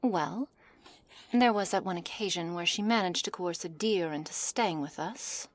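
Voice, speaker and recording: A woman playing a haughty, condescending witch in an ASMR roleplay, speaking softly in measured, formal phrases with a commanding manner. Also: fairly low pitch, natural voice. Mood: musing, cool. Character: cold, haughty witch, imperious captor of a werewolf. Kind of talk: ASMR roleplay, scripted character acting. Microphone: close studio mic, dry.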